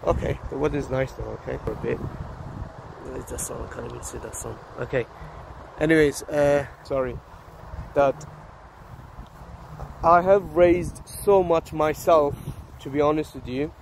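A slightly hoarse man in his thirties, vlogging casually on a phone in an open park, talking haltingly with many fillers and restarts. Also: on the high side, low-quality recording. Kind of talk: casual selfie vlog. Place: open grassy park outdoors. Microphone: phone held at arm's length. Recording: outdoor phone audio with wind and traffic noise.

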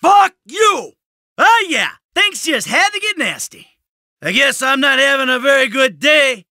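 A man's voice: very high-pitched, lively intonation, a little slow, bright, forceful tone, dry recording, studio quality, heavily acted. A man voicing a cynical, brash middle-aged American criminal in a video game, sarcastic and foul-mouthed. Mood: angry, taunting. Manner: sardonic, brash, swearing.

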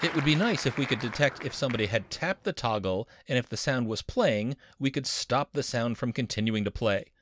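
A man's voice: mid-range voice, animated, brisk, hardly any pauses, crowd noise, background noise. A man teaching a screen-recorded coding lesson as a university instructor, upbeat and playful with jokey wordplay.

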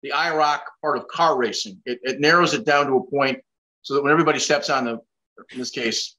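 A man's voice: medium pitch, a little fast, bright, forceful tone, low-quality recording. An older man hosting a casual interview over a video call, asking rambling questions and making self-deprecating jokes. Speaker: host.

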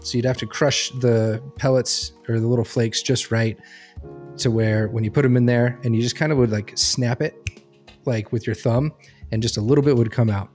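A man's voice: medium pitch, fairly even intonation, moderate pace, a little mellow. Man chatting over music. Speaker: podcast speaker on behavior analysis. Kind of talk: casual anecdotal podcast talk.

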